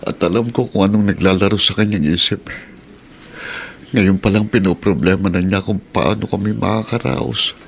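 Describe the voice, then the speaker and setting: A man's voice: lowish voice, moderate pace, vocal fry, a little hiss or hum. An actor playing an injured middle-aged jeepney driver and father in a Filipino radio drama, plaintive and weary, with a Filipino accent. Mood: resigned, weary.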